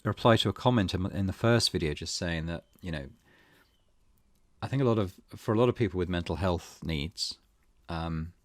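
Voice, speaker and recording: A man's voice: low voice, moderate pace, dry recording, clean studio sound. A man hosting a let's play, reading game text aloud and adding his own commentary.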